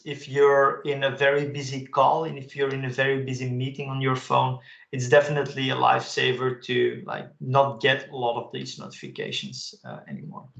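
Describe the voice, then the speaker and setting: Gravelly man demoing software. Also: mid-range voice. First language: Dutch. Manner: casual, enthusiastic, frequent "uh" fillers. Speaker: webinar presenter. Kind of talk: live software demo walkthrough. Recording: roomy echo.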